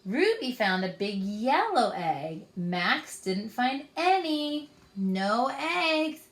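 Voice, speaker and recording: Woman, children's read-aloud, lively swooping intonation, unhurried pace, roomy echo. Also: on the high side. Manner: expressive, speaking to young children. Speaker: storytime reader.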